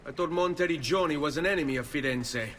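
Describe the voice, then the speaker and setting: A man's voice: fairly high pitch, fast talker, fairly bright tone, a little hiss or hum, natural voice. An actor playing a grieving, resolute young Italian nobleman in a video game, speaking with an Italian accent in an earnest, restrained way. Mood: guarded, puzzled. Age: young adult. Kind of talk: video game cutscene acting.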